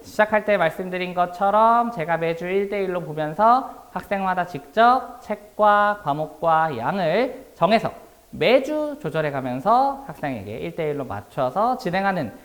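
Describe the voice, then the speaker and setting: A man with a slightly hoarse, worn voice, presenting a class as an academy instructor in a polite, explanatory manner. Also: sits high, lively intonation, normal speed, fairly bright tone. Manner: polite, explanatory, formal Korean speech.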